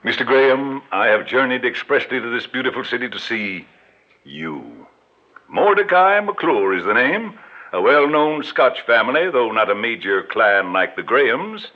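An actor in an old radio drama playing a smooth, pompous middle-aged con man with florid, grandiloquent speech. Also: medium pitch, sing-song, moderate pace, close to an everyday voice. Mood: unctuous. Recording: dull vintage radio recording.

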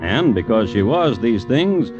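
A sly storyteller narrating a vintage radio drama with wry, theatrical phrasing. His pitch swings in a lively way over clear guitar music, on a muffled old recording. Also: measured pace. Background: plucked guitar music.

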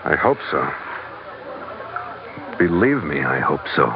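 An actor playing a steady, seasoned sea captain, narrating a 1940s radio adventure in a clipped, dramatic, hard-boiled manner. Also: male voice, rumbling low, slow pace, exaggerated voice. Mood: guarded, firm. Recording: muffled old radio recording. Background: dramatic music.

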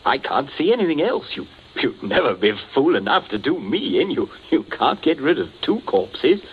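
An actor playing a blustering, nervous gentleman who stammers and flusters, in a 1940s radio suspense drama with a muffled, noisy recording. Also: on the high side, very animated, moderate pace. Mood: alarmed, pleading.

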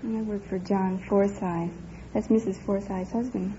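A woman's voice: mid-range voice, steady tone, a little slow, dark tone. A woman in her twenties, a quiz show guest giving short, polite answers. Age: 20s. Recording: dull old radio recording, audience, faint noise.

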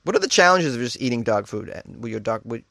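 A man with an ordinary, mid-pitched voice reads offbeat news items and breaks off into jokey, disbelieving asides. He keeps laughing at his own jokes. The sound is dry and close, with no room echo. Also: lively intonation, fast, vocal fry, fairly bright tone. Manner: mock-incredulous asides. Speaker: host. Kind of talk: comedic news reading and riffing.

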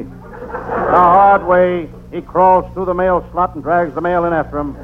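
An actor playing a deadpan, world-weary private detective narrating an old-time radio comedy. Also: male voice, a little high, natural voice. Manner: deadpan, punning first-person delivery. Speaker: narrator and lead character. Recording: noisy, narrow-band vintage radio recording.

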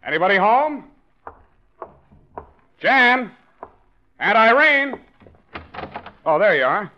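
A husband with a secret scheme, breezy and falsely agreeable, with a lively voice. It is 1940s radio acting, heard through a dull lo-fi broadcast recording. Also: male voice, sits high, slow, heavily acted. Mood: cheerful.

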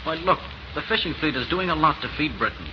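A man playing a steady, practical business partner in a 1940s radio drama, speaking in a colloquial, reasoning way. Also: on the high side, fast talker, natural voice. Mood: reassuring. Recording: dull, noisy 1940s radio recording.

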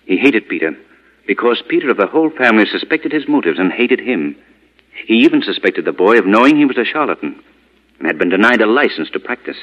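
An actor playing a commanding, deliberate male vigilante crime fighter in 1940s radio drama style. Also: lowish voice, sing-song, lo-fi sound. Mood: grave, explanatory. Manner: authoritative, urgent, deliberate.

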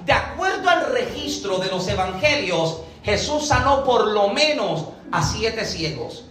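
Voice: medium pitch, a bit bright. A preacher from Puerto Rico with a Puerto Rican Spanish accent delivers a sermon, often posing rhetorical questions and checking that listeners follow. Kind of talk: sermon to a congregation. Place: large echoey room, church service. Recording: echoey hall, distant mic, music behind.

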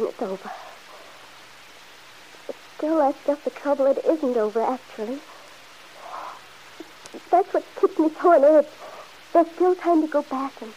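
A woman's voice: sits high, mellow, dull audio. Anxious young mother, hissy old radio. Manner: hesitant, pleading, nervy. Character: anxious young mother, fretful, torn by guilt. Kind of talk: old-time radio soap opera acting. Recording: hissy vintage radio broadcast recording.

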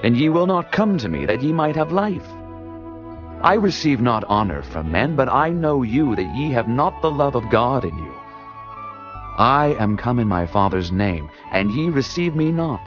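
A man's voice: medium pitch, sing-song, moderate pace, lightly acted. A man voicing solemn Jesus figure. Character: Jesus, calm authoritative male teacher. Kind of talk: dramatized scripture reading. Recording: dull lo-fi audio with music.

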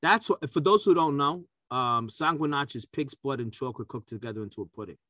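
A man's voice: mid-range voice, brisk, bright, forceful tone, no room sound, studio quality. A talkative man co-hosting a food podcast, telling stories and jokes in a casual chat. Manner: talkative, joking storyteller. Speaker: podcast co-host.

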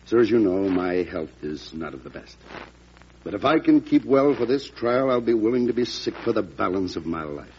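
Actor as a solemn, formal defense lawyer, muffled. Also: male voice, lowish voice, lively intonation, a little mellow, close to an everyday voice. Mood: earnest, solemn. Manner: formal, measured, oratorical. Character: solemn, loyal male defense lawyer to the president. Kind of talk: old-time radio drama acting. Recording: muffled, noisy vintage radio recording.

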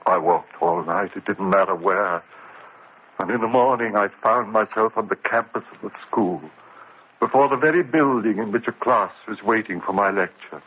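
A man's voice: on the low side, moderate pace, fairly bright tone, husky. An actor playing a tormented male college lecturer losing his mind, in an intense 1940s radio drama delivery. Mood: haunted. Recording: muffled, faintly hissy old radio recording.

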